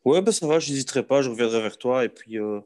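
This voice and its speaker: A man's voice: mid-range voice, quick pace. Man with a Belgian French accent. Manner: relaxed, rambling with many restarts. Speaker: football journalist. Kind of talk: casual video call chat.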